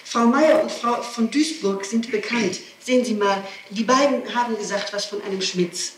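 A woman's voice: a little high, normal speed. A woman under questioning gives a spontaneous, agitated and insistent statement, often breaking off, restarting and repeating her questions. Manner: digressive, breaks off and restarts sentences. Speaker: person being questioned by police. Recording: old archive tape, roomy, distant, hissy.

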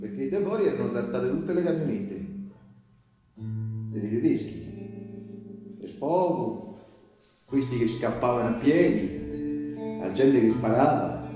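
A male actor playing an ordinary, excitable townsman who recounts a wartime battle in a stage monologue, with a central Italian accent and a colloquial, repetitive way of talking. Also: medium pitch, lightly acted. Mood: grave, dramatic. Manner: conversational, repetitive, with rhetorical tags. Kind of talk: live theatrical monologue in colloquial dialect-tinged speech. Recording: roomy live stage with music. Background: live guitar accompaniment.

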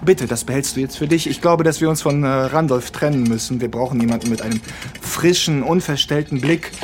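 An actress playing an agitated, suspicious organisation boss in a radio play, swinging between confiding and scolding. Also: female voice, very deep voice, a little fast, darkish tone, slight background noise. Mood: confiding, exasperated. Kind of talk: dramatic German radio play monologue.

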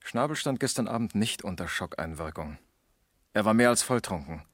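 An actor playing an eager, earnest junior police detective who thinks aloud, in a radio crime drama from the 1970s. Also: male voice, lowish voice, lively intonation, normal speed, no echo, clean studio sound. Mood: eager, intent.

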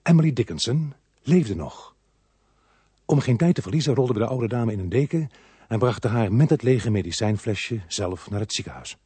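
A world-weary police inspector, low mellow voice, dry close sound, radio play narration. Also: male voice, expressive, moderate pace, breathy edge, no echo. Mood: sober narrating. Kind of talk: radio crime drama acting and first-person narration.

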